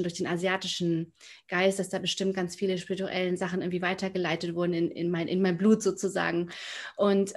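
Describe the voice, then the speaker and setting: A woman in her early forties from near Frankfurt, telling her story as a podcast guest with many fillers and pauses to find her words. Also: mid-range voice. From Germany, near Frankfurt. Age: 40s. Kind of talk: casual interview storytelling.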